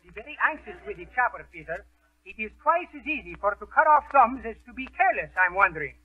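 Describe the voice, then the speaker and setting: An actor playing an excitable Greek restaurant owner in 1930s radio comedy, with a Greek accent and garbled, mock-learned English. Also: male voice, sits high, fast, slightly rough, exaggerated voice. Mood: genial, warning. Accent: Greek, put on. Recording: muffled, band-limited 1930s radio recording.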